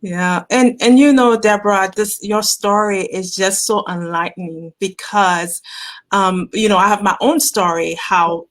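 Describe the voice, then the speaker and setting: A woman's voice: medium pitch, fairly even intonation, unhurried. A middle-aged woman from Haiti, a guest in casual conversation, sharing her own story and often chiming in with agreement as she listens. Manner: affirming listener, frequent backchannels.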